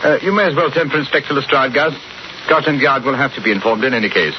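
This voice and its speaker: An actor playing a shrewd, unruffled English gentleman detective in a 1940s radio drama, with a clipped, deliberate, understated manner. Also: medium pitch, lightly acted. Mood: dry, composed. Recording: muffled, noisy old 1940s radio recording.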